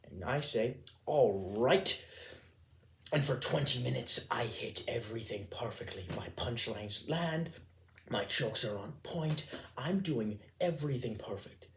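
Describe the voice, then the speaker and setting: A man's voice: medium pitch, animated, unhurried. Man acting an emphatic, agitated comedian, noisy recording. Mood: earnest storytelling. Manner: emphatic, repeating words for effect. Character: chatty, agitated self-employed Irish comedian telling his misfortune. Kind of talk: theatrical comic monologue. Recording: clearly noisy background with clicks.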